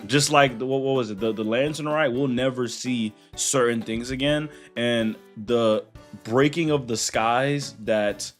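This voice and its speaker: A man's voice: medium pitch, measured pace, soft background music. A young man giving casual, chatty let's play commentary, slangy and reacting aloud. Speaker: gaming YouTuber.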